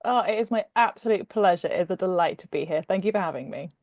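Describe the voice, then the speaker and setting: A woman's voice: fairly low pitch, lively intonation, fast talker, no room sound, dull audio. British woman author, dry close recording. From Britain. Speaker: guest author. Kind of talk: conversational introduction and expressive book reading.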